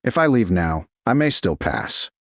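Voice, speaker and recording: A man's voice: sits low, measured pace, vocal fry, no echo, studio quality, heavily acted. A man voicing a composed, dutiful medieval woman in a romance audiobook, speaking carefully and evenly. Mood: quietly challenging. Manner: careful, even, quietly restrained.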